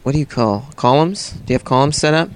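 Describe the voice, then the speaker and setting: A man of middling pitch co-hosts a loose podcast, trading crude jokes and needling the others. His talk is casual and quick to tease, over a faint background hiss. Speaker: podcast co-host. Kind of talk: casual banter.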